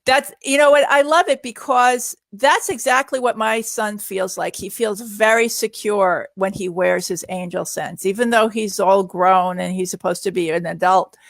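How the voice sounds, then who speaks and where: A woman's voice: mid-range voice, normal speed, vocal fry, hardly any pauses, no echo, clean studio sound. A middle-aged woman answering questions in a casual live Q&A, speaking in an earnest, reassuring way. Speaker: guest answering viewer questions.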